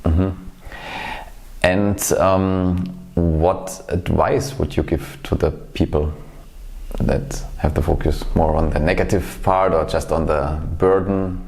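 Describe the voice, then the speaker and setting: A man hosting a podcast interview, putting his questions in a measured, deliberate, conversational way. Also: deep voice, fairly even intonation, darkish tone.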